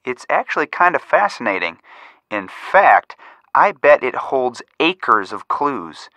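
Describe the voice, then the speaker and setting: Young male amateur detective, American accent, very bright forceful voice, dry close sound, wry, helpful, game dialogue. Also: mid-range voice, big pitch swings, slow pace, dry recording, exaggerated voice. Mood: helpful, encouraging. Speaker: detective helper giving hints. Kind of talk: scripted video game voice acting.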